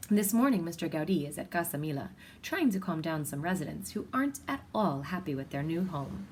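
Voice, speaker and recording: A woman reading a children's picture book aloud in an expressive, deliberate storytelling manner. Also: sits low, a little hiss or hum. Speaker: storybook reader.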